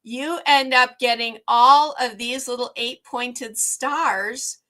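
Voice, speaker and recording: Warm-up host for a craft stream: woman, a little hoarse, upbeat, filler-heavy, bright tone. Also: a little high, fairly even intonation, takes it slowly. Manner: chatty, upbeat, frequent ums. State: slightly hoarse. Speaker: quilting workshop host. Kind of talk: live online quilting tutorial.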